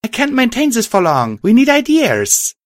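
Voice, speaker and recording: Man putting on a high, straining young pony heroine. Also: very animated, a little slow, no echo, clean studio sound, full character voice. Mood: urgent. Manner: urgent, straining, emphatic. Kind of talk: audiobook character voice.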